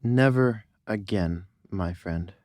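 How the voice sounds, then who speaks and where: A man's voice: deep voice, slow, darkish tone, dry recording. A man playing a theatrical, gleefully deranged teenage boy killer with a showman's formal manner in an audiobook. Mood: tender. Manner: grandiose announcer-like, alliterative showmanship. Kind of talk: audiobook character dialogue.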